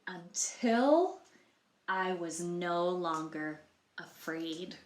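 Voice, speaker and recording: A woman's voice: lowish voice, lively intonation, drawn-out words, darkish tone. A woman reading a picture book aloud to children, expressively, with explanatory asides and questions for the listeners. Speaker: library storytime reader. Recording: roomy, echoey sound.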